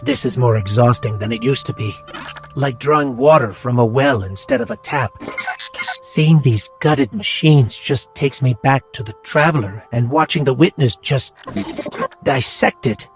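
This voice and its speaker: A man's voice: medium pitch, lively intonation, unhurried. Weary, brooding man over music. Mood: weary, troubled. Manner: brooding, introspective. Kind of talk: video game dialogue.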